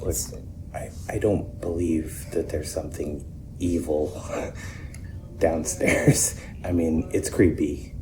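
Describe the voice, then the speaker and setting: Low-voiced, halting skeptical man played by an actor. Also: fairly even intonation, noisy background. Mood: nervous, reasoning. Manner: halting, stammering, conversational. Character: skeptical, rattled man trying to reason with a frightened girl. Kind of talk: naturalistic fiction podcast acting.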